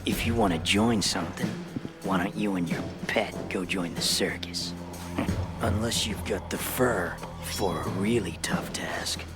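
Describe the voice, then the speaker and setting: Hard-bitten game rebel: very low, breathy gravel, sardonic threats, clipped and suspicious. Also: male voice, unhurried, over loud music. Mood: menacing, mocking. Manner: curt, menacing, sardonic. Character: gruff, distrustful male underground rebel, ex-guard. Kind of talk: animated video game voice acting.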